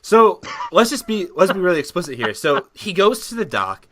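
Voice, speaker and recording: A man co-hosting a podcast, chatting casually and joking with animated incredulity. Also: high-pitched, normal speed, slight background noise. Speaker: podcast co-host. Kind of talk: casual comedic film chat.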